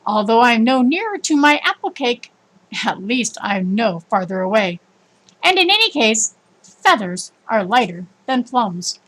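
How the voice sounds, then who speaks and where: A kindly old woman from a storybook, read by a woman narrator. The voice is fairly high, with a gentle, cheerful and generous way of speaking. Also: sing-song, unhurried, heavily acted, poor audio.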